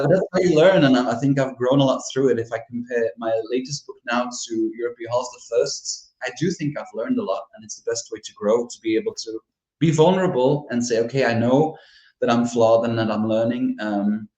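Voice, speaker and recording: A man with a Flemish accent, speaking English as a second language, talks candidly and reflectively as a podcast guest, breaking into easy laughs. His voice sits at an ordinary middle pitch. The recording carries a noticeable roomy echo. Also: fairly quick, darkish tone. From Belgium (Flanders). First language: Dutch (Flemish). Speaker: horror author, podcast guest. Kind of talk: casual interview chat.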